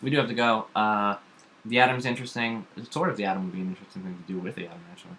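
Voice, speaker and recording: A man's voice: fairly low pitch, very fast, noisy background. A young man co-hosting a casual chat vlog in a home kitchen, talking in hesitant, stop-start phrases. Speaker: vlog co-host. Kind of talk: casual chat about comics and horror films.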